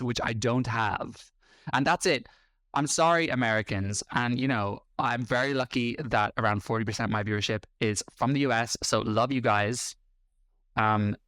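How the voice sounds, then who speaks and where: Irish guy, 30s, podcast host, snarky and blunt, dry close sound, middle pitch. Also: a bit bright, no room sound. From Ireland. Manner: blunt, combative and sarcastic. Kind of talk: reacting to video clips.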